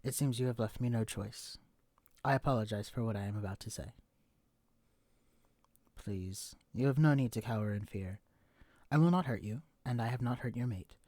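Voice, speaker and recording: A man's voice: medium pitch, moderate pace, long pauses, a little mellow, no echo, close to an everyday voice. A man voicing a stiff, formal alien posing as a husband, with stilted, pompous, overly proper diction in a scripted audio roleplay. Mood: solemn, condescending.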